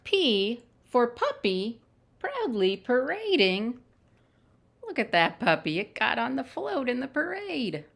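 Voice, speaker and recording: A woman reading picture books aloud to young children in simple, measured phrases, with little asides to her listeners. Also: on the high side, sing-song, creaky, a bit bright. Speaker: children's storytime reader. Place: at home.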